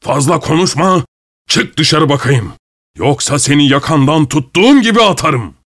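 A man's voice: medium pitch, brisk, a bit bright, dry recording, clean studio sound, natural voice. A man voicing a stern old police chief in a storybook, angry, commanding and threatening. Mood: stern anger.

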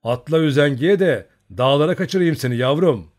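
A man playing a loud, swaggering young Cossack. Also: mid-range voice, lively intonation, fast, natural voice. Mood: loud bold.

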